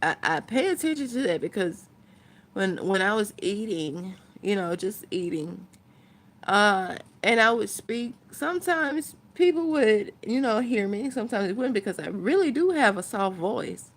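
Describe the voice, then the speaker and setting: A woman's voice: mid-range voice, lively intonation, measured pace. A woman chatting casually to her viewers as a vlogger, thinking aloud in a rambling way.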